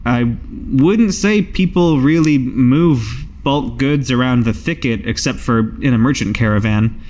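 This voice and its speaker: A man voicing a dry, cautious druid master in a tabletop game, with a laid-back, deadpan, casual way of talking. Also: medium pitch, a little slow, slight background noise, natural voice. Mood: dry, unbothered.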